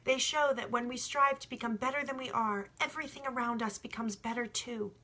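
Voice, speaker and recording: Bright, husky woman reading aloud. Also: a little high, brisk. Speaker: storybook reader. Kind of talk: book read aloud with informal asides.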